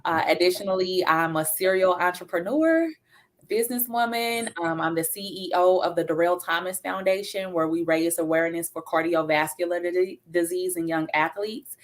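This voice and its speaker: A woman's voice: lowish voice, moderate pace. A woman speaking as a guest on a talk show, introducing herself in a businesslike way with frequent fillers.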